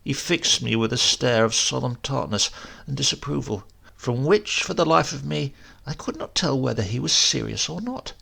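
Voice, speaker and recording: A man narrating a comic story with measured, wry delivery. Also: medium pitch, lively intonation, vocal fry, sharp tone, husky. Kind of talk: scripted narration of a comic tale.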